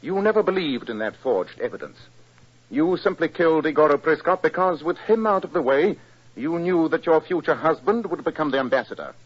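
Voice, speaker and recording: An actor playing an incisive, proud English detective with a British accent, precise and emphatic, in 1940s radio style. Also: male voice, fairly high pitch, animated, a little fast, breathy edge. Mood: stern. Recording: dull 1940s radio recording.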